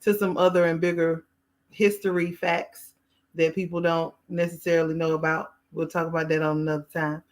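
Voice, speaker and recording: A woman's voice: sits low, level tone, normal speed. A woman hosting a podcast, moderating a group chat and laughing easily. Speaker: podcast host.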